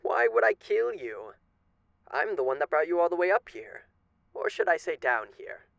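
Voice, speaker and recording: A man's voice: sits high, very animated, moderate pace, gravelly, a bit bright, no room sound, dull audio, heavily acted. A man playing a suave, menacing radio demon in an ASMR roleplay, speaking with genteel, teasing, theatrical politeness. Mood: teasing, patient menace.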